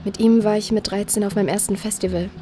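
A woman's voice: mid-range voice, steady tone, fast, mellow, soft background music, lightly acted. A young woman playing a candid, shaken teenage daughter in an audio drama, speaking informally. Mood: candid, chatty. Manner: informal, youthful. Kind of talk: audio drama acting, podcast chat.

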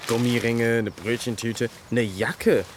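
A man's voice: mid-range voice, steady tone, a little slow, a little hiss or hum, close to an everyday voice. A man plays a wisecracking teenage sidekick in a radio drama. He delivers dry, slangy quips as a loyal friend, with faint ambience behind him. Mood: dry, mocking. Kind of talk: naturalistic radio drama dialogue.

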